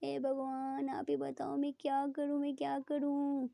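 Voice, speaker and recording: A woman voicing a gentle, playful young woman lover who coaxes and soothes. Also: on the high side, steady tone, measured pace, gravelly, no echo, clean studio sound. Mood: teasing. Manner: coaxing, playful, soft.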